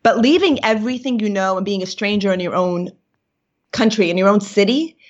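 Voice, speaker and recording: A woman's voice: mid-range voice, brisk. Reflective woman, dull lo-fi sound. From New York. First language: Yiddish. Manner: reflective, searching for words, many fillers. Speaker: guest. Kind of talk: personal interview. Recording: dull lo-fi recording.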